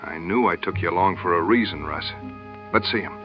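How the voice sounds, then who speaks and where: A man's voice: deep voice, fairly quick, highs cut off, lightly acted. A man playing a resolute, plain-spoken frontier hunter and tracker in an audio drama, with a folksy, determined manner. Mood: wary, focused. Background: music and night crickets.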